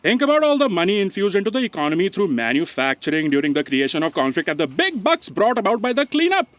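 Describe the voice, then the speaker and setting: A woman acting as a cynical office copy aide in a comic radio play. The voice sits at a middle pitch and moves around widely, with dry, sardonic delivery. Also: very animated, fast talker, dry recording, close to an everyday voice. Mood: reading officiously. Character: cynical, long-suffering bureaucratic copy aide. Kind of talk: scripted audio comedy drama acting.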